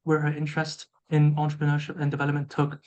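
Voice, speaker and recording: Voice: medium pitch, monotone, fast, darkish tone, highs cut off. A webinar host from Hong Kong introducing a guest and reading out the chat, hesitant, with many fillers.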